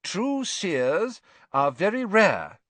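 A man's voice: sits high, very animated, extremely slow, fairly bright tone, no echo, voice pushed up, exaggerated voice. A man voicing a stern, matter-of-fact older woman professor in an audiobook, with a clipped, dry, severe manner. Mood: stern, restrained. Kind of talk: audiobook character voice.

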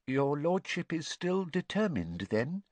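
A man voicing a timid, servile wizard henchman in an audiobook, squeaky, anxious and pleading, words tumbling out in a rush. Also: very animated, moderate pace, dry recording, exaggerated voice. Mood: nervous, deferential.

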